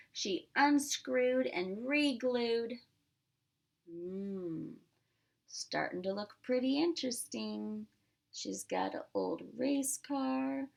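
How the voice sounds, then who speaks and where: A woman's voice: sits high, gravelly, darkish tone. A woman reading a picture book aloud as a pre-K teacher, expressively, with simple asides to young children.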